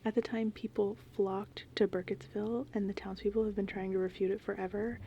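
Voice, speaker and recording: Whispered female ASMR, mellow and breathy, thirties, informal rambling, faint gentle rain and thunderstorm ambience. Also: medium pitch, little pitch movement, a little fast, dull audio, a little hiss or hum. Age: 30s. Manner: informal, meandering, conversational. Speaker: ASMR host, horror film fan.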